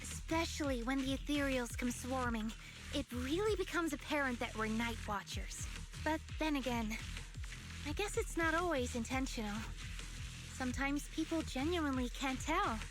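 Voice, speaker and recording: Woman acting young female night watcher, high voice, earnest, video game character, music behind. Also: moderate pace, lightly acted. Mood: earnest, calm.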